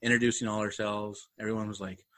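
A man's voice: fairly low pitch, level tone, fast, fairly bright tone. A young man co-hosting a casual chat, talking easily with many fillers and laughs. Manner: chatty, laughing, filler-heavy. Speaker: podcast co-host.

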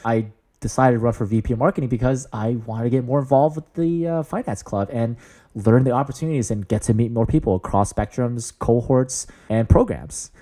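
A man in his thirties with a booming voice, talking earnestly and enthusiastically about student leadership in an interview. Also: fairly quick, mellow. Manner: earnest, upbeat, enthusiastic with frequent fillers. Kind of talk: interview answers.

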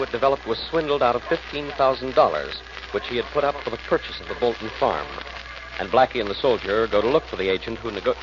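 A man with an American accent reading scripted narration as a 1940s radio announcer. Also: medium pitch. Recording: noisy, muffled old radio recording.

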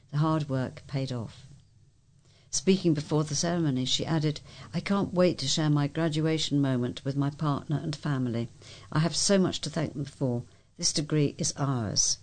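Volunteer local news reader, medium-pitched voice, scripted read-aloud delivery. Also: darkish tone.

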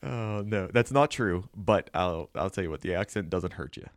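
A man's voice: low voice, normal speed, dry recording, clean studio sound. An American man hosting a podcast, asking questions in a joking, teasing way. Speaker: podcast host. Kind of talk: casual podcast conversation.

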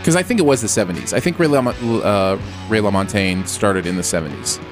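Co-host, man, lively swooping delivery, jokey, music bed underneath. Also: low-pitched, fast talker. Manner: animated, joking, laughs often. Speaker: podcast co-host. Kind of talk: casual music review chat. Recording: music playing in background.

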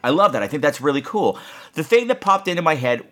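Male podcast host, playful and campy, very animated intonation, casual chat. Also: a little high, fast. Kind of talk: casual chat answering listener voicemails.

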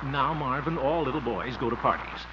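A man's voice: medium pitch, moderate pace, natural voice. An actor playing a fussy, exasperated grown-up man in the exclamatory style of 1950s radio comedy. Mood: bossy, exasperated. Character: fussy, blustering grown-up man minding a small boy. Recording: muffled, noisy old radio recording.

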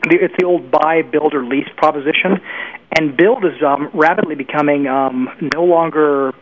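A middle-aged man speaking as an industry pundit in a conversational commentary, halting and often correcting himself. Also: on the high side. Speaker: guest, internet industry pundit. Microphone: telephone call. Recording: tinny phone line, faint noise.

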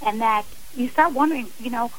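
A woman's voice: a little high, a little fast, slight background noise, rough recording. Earnest, emphatic woman guest. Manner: earnest and emphatic, repeating phrases for stress. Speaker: author and interview guest. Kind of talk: radio interview.

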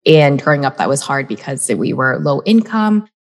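A woman's voice: very deep voice, big pitch swings, moderate pace. A woman in her thirties from the United States, telling her life story as a podcast guest in a chatty way, full of "um" and "like". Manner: chatty, many fillers like "um" and "like". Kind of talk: casual storytelling. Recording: long hall reverb.

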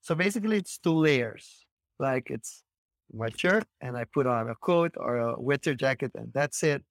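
A man's voice: mid-range voice, lively intonation, moderate pace, no echo, studio quality. A man from Caribbean America, hosting a casual chat and explaining things in short, broken phrases. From Caribbean Latin America. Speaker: podcast host.

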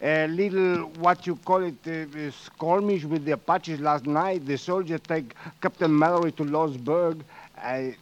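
Mexican frontier station keeper, played by a man, lightish high voice, apologetic, halting broken English, vintage radio western. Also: highs cut off, close to an everyday voice. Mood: hesitant, uneasy. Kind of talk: 1940s radio acting.

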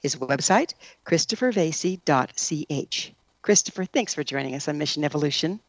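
A woman's voice: sits low, animated, normal speed, no room sound. A woman hosting a radio show, reading a prepared introduction and interviewing a guest.

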